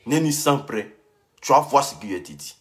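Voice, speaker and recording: A man's voice: mid-range voice, very animated, normal speed. A young man, ranting heatedly on a live stream and repeating himself as he answers a rival. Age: young adult. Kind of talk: casual live-stream rant.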